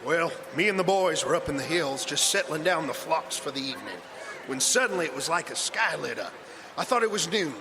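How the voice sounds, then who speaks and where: An insistent folksy shepherd. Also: male voice, fairly high pitch, animated, a little fast, noisy background. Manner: pleading, folksy. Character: earnest male shepherd, excited and insistent.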